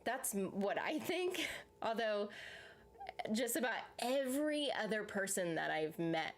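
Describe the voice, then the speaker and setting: A woman's voice: medium pitch, sing-song, unhurried. A woman with a Southern US accent who hosts a reaction channel, chatting casually and rambling as she answers her viewers' comments. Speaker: YouTube reaction host. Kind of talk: casual live chat with viewers.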